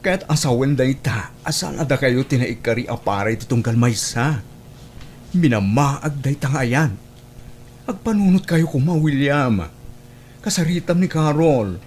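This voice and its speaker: Male actor for concerned radio-drama friend, middling pitch, earnest and admonishing, slightly noisy recording. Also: lively intonation, normal speed, a little mellow, lightly acted. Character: concerned confidant urging a straying man toward his family. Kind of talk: Ilocano family radio drama acting.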